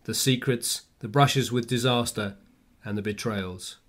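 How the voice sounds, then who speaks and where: Male actor as old-time reporter narrator, measured storytelling, formal, solemn, radio drama. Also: medium pitch, a bit bright, lightly acted. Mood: measured, reflective. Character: male former reporter recounting a true Victorian adventure. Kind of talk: scripted radio play narration.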